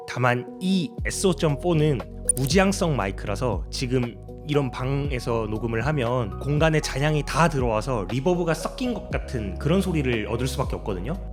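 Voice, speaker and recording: Man, mid-pitched voice, lively intonation, polite explanatory tutorial narration, music behind. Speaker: sound designer explaining own work. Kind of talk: tutorial narration, process breakdown.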